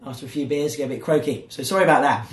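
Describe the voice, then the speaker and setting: Croaky-voiced chatty man host. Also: medium pitch, racing, echoey room. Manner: chatty, joking, with filler words. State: croaky, hoarse. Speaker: podcast host. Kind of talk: casual podcast chat.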